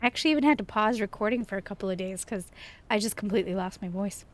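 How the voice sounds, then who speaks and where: A woman hosting a gaming channel, chatting casually over gameplay in a slightly hoarse voice after a chest cold, rambling and self-deprecating. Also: medium pitch, very fast.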